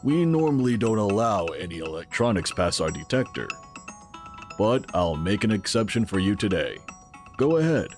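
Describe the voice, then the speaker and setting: An actor playing the calmly authoritative founder of a sci-fi organization in an audio drama, speaking instructively. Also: male voice, lowish voice, moderate pace, soft background music. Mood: composed, businesslike.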